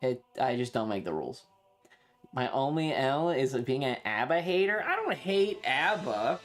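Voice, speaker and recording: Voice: mid-range voice, big pitch swings, normal speed, soft background music. A streamer chatting casually and live, rambling and opinionated, swearing freely and complaining with playful exaggeration. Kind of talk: casual livestream chat.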